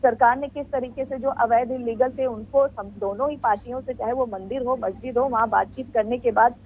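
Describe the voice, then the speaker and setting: A woman giving a live, unscripted news report in a halting, explanatory way. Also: on the high side, steady tone, breathy edge. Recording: tinny phone-line sound.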